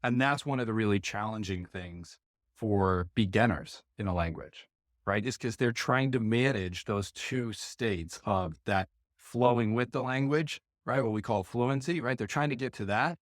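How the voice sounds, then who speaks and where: A man's voice: fairly low pitch, measured pace, no echo, clean studio sound. A man hosting a podcast, musing aloud and looking for words with frequent fillers. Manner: rambling, searching for words, many "right?"s. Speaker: podcast host. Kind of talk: podcast interview, reflective questioning.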